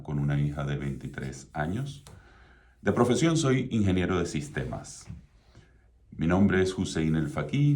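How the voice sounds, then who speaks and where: Very deep-voiced Venezuelan radio host. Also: male voice, a little mellow. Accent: Venezuelan Spanish. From Venezuela (Mérida). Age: middle-aged. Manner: measured, promotional, repeats contact details. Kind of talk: scripted radio talk show monologue.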